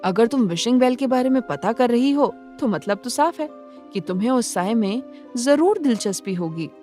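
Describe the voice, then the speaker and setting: A woman's voice: on the high side, lively intonation, moderate pace, warm tone, faint music behind, natural voice. A woman voicing a mysterious young woman, probing and knowing, in an audio horror drama.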